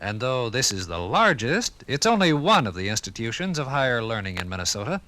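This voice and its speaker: A 1950s radio recording of an American man presenting formally from a script. His medium-pitched voice is bright and lively, with clearly varied intonation. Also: brisk. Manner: formal, enumerating.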